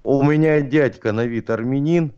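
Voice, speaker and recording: A man's voice: mid-range voice, normal speed, warm tone, highs cut off. A man co-hosting a live stream, casually reading out viewer comments and answering them. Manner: chatty, reads viewer comments aloud, laughs. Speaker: stream co-host.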